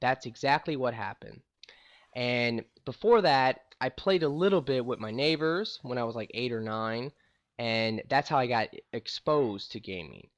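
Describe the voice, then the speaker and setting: A man's voice: mid-range voice, expressive, a little slow. Young guy rambling about his life, voice jumps up and down a lot, lots of "you know" and "like". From St. Louis, USA. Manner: rambling, conversational, many fillers. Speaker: gaming YouTuber. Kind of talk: casual storytelling to camera.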